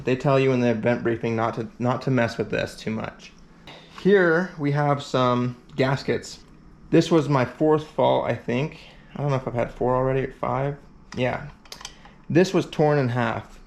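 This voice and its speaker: A man's voice: mid-range voice, vocal fry, a little hiss or hum. A man giving a casual hands-on repair tutorial, rambling with fillers and thinking aloud as he works. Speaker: competitor explaining a repair event.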